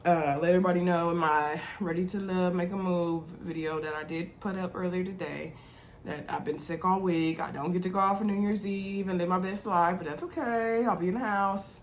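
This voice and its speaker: A woman's voice: fairly low pitch, fairly even intonation, brisk, slight background noise. A young woman with a sore throat and congestion chatting casually to her viewers as she recaps a reality TV episode, with lots of ums and y'alls. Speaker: TV recap host.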